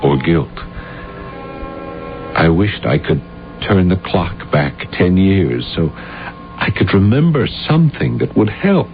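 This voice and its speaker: A man's voice: low voice, big pitch swings, takes it slowly, pauses often, full character voice. A man acting in a radio drama as an anxious, guilt-ridden playwright who stammers and repeats his words. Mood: remorseful, bleak. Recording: dull vintage radio recording with music.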